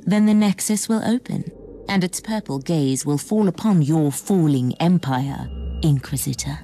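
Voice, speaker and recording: Dark-voiced cryptic alien seer woman. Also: deep voice, measured pace, a little hiss or hum. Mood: cryptic, aloof. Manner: speaks in riddles, solemn. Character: cryptic alien female seer, aloof and condescending.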